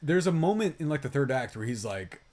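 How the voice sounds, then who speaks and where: A man with a mid-pitched voice chats as a podcast co-host reviewing films. He is emphatic and exasperated, swears freely and keeps the talk loose and conversational. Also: sing-song, a little fast, darkish tone. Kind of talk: casual film review chat.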